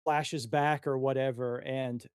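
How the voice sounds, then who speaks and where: A man chatting casually as a player creating a character, tentative and halting in how he talks. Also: mid-range voice, fairly even intonation, smooth, dry recording, studio quality. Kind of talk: casual chat.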